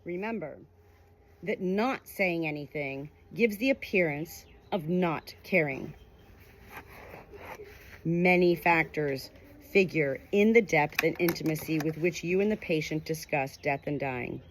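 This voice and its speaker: A woman's voice: deep voice, measured pace, slight background noise. A woman reading a nonfiction book aloud as scripted audiobook narration. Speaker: audiobook reader.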